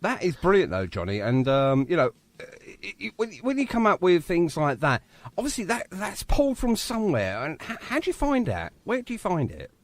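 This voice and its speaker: Lively, higher-voiced male radio host. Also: normal speed, dry recording. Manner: enthusiastic, effusive. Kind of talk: chatty live radio interview. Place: small radio studio. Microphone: studio microphone.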